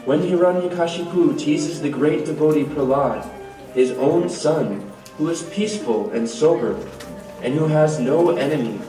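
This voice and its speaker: A man playing a majestic, reassuring supreme god in a devotional puppet show, measured and solemn. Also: on the high side, dark tone, close to an everyday voice. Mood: solemn, resolute. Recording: roomy, distant mic, music behind.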